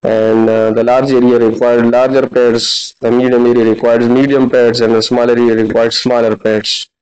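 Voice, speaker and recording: A man in his thirties giving a halting, instructional practical demonstration as a physiotherapy teacher. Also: medium pitch, flat delivery, darkish tone, smooth. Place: large indoor classroom clinic room. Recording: dull, lo-fi camera audio.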